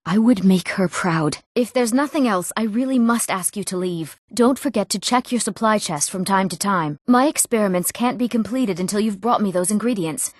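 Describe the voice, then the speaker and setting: A woman playing an earnest, emotional young townswoman in a fantasy video game, speaking clearly and expressively. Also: medium pitch, a little mellow, no echo, studio quality, natural voice. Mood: bitter. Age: young adult. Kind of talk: scripted fantasy game dialogue.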